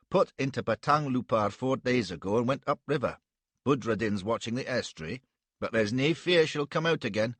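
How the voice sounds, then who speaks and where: Man playing a burly warrior, Scottish accent, growling, husky, animated. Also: mid-range voice, dry recording. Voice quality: gruff, growling.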